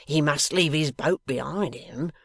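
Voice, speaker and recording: A man's voice: on the high side, unhurried, fairly bright tone, no room sound, exaggerated voice. A man voicing a coarse, sly lock-keeper from a novel, growling with an insolent manner. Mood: mocking, confident. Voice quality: growling, gruff. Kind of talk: dramatised novel reading.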